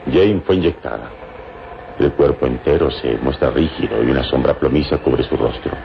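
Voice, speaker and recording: A calm, all-knowing hero of vintage radio drama. His voice is extremely deep with a gravelly edge, and every phrase is measured and solemn. The old recording is dull and slightly noisy. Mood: grave, explanatory. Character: calm, wise male hero with hypnotic powers. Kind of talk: old Latin American radio drama acting.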